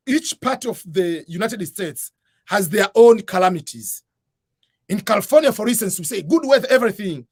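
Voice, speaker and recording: A man hosting a livestream and answering viewer questions in a relaxed, rambling way. His voice sits a bit high for a man. He keeps adding "whatever" as he talks, and the sound is very dry and close to the mic. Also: dry recording. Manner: informal, peppered with "uh" and "whatever". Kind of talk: casual advice chat.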